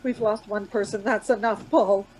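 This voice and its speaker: A woman's voice: steady tone, moderate pace, lightly acted, low-quality recording. An actress playing an anxious, coaxing woman traveller in a radio play, urgent and reassuring by turns. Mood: firm, reassuring. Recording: background noise with vehicle rumble.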